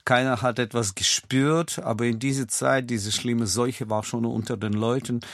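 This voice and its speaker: A man's voice: mid-range voice, fairly even intonation, normal speed, a bit bright, no echo, studio quality. A man in his sixties from Ukraine, a non-native speaker with a Ukrainian accent, explaining his own past as an interview guest. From Kyiv, Ukraine. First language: not German. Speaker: podcast guest. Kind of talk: personal recollection in an interview. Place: studio.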